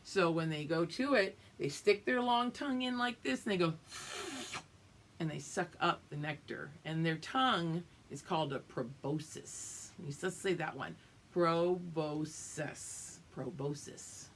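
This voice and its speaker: A woman's voice: deep voice, a little slow, slight background noise. An older woman teaching young children, explaining things simply and checking that they follow along. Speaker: kindergarten or preschool teacher. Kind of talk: read-aloud lesson for young children.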